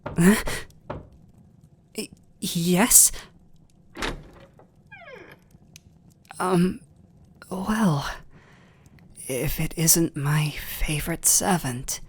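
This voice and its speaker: A man with a British accent playing a gentle, overworked vampire lord in a romantic ASMR roleplay, speaking softly, formally and a little haltingly. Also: a little high, slight background noise. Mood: fond, gentle. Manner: soft, breathy, halting, formal. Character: gentle, overworked aristocratic male vampire lord, restrained and devoted.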